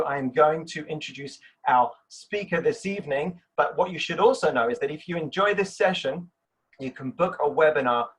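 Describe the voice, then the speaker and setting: Male host, mid-range husky voice, formal scripted introductions. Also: mid-range voice, fairly bright tone, rough recording. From Britain. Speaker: webinar host. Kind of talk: live webinar introduction.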